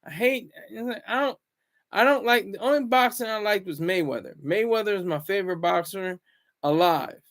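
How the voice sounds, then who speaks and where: High-voiced man rambling solo commentary. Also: moderate pace, fairly bright tone. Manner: rambling, restarts words often. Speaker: commentary channel host.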